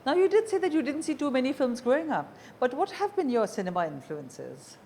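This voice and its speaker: Indian woman interviewer with lively intonation. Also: high voice, a little fast, slight background noise. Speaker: interviewer, show host. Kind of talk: conversational interview questioning.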